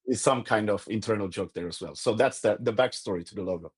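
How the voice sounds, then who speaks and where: Low-voiced Swedish man, halting English. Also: slightly rough. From Sweden. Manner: halting, repeats words while searching for English phrasing. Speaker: podcast guest. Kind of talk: casual conversation.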